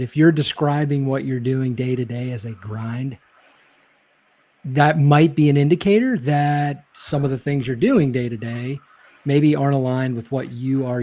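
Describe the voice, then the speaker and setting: Man, interview guest, reflective storyteller, soft warm voice, hedges a lot. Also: mid-range voice. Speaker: guest, leadership speaker. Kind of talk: casual interview chat.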